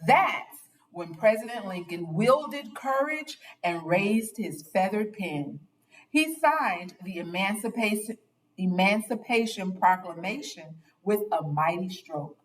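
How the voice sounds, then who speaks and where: A woman reads a picture book aloud as a storyteller, taking her time over each phrase. Her delivery is expressive and dramatic, with deliberate weight on the words. Her voice is mid-pitched and sits a little way from the microphone. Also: recorded from a distance, poor audio. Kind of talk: expressive children's read-aloud narration.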